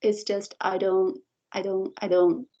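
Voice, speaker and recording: A woman's voice: mid-range voice, little pitch movement, measured pace, warm tone, lo-fi sound. A woman whose first language is Mandarin, a lecturer answering interview questions thoughtfully, with frequent light laughter and self-corrections. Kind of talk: reflective podcast interview answer.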